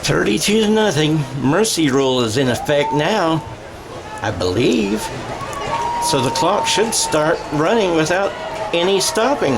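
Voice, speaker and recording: A man gives live radio-style game commentary in a slightly high, leisurely voice. He talks conversationally, as if thinking out loud, with a crowd audible behind him. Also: big pitch swings, a little slow, noisy background. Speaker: play-by-play commentator. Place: high school football game. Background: stadium crowd and music.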